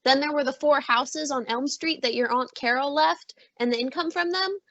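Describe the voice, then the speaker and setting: A woman playing a doting middle-aged mother in an old-time radio drama, fond and gently persuasive. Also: sits high, normal speed, no room sound, muffled recording. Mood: earnest, coaxing.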